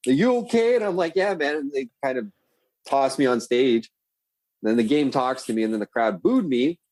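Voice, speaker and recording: A man chatting as a podcast guest, telling stories in a loose, profane, rambling way. His voice sits at an ordinary middle pitch, and he gets animated as he goes. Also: moderate pace, dry recording. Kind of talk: casual storytelling chat.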